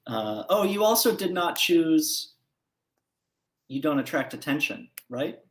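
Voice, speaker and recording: A man running a tabletop game as game master, describing scenes in a relaxed way with frequent fillers. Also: on the high side, measured pace, roomy sound.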